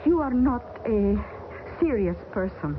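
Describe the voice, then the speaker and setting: An actress playing a grave, fatalistic woman stranded in the Arctic, speaking with solemn, halting, broken English, in an old radio drama. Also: a little high, expressive. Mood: earnest. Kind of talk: 1970s radio drama acting. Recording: hissy, tinny old radio broadcast.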